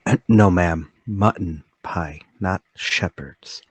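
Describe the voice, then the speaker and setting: A man playing a troubled, forgetful older gentleman in a radio mystery drama, speaking politely and haltingly. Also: low-pitched, breathy edge, exaggerated voice. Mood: polite, probing. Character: troubled, forgetful, soft-spoken older gentleman, haunted and deliberate.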